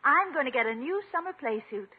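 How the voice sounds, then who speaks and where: A woman's voice: high voice, expressive, narrow, tinny audio. An actress playing a coaxing, playful lady friend in a 1950s radio comedy, with a cooing, flirtatious manner. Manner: cooing, drawn-out, coquettish. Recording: thin, band-limited 1950s radio.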